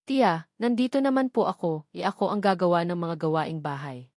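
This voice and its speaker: A woman's voice: fairly low pitch, normal speed, darkish tone, no room sound, clean studio sound, lightly acted. A woman voicing an anxious, devoted mother with an earnest, tender, pleading manner in a dramatized story. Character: anxious, devoted, self-sacrificing young mother. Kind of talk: dramatized novel narration.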